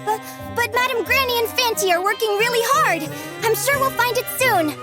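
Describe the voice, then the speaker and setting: A woman voicing an earnest, excitable, naive little girl helper in a video game. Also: very high voice, moderate pace, over music. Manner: childlike, earnest.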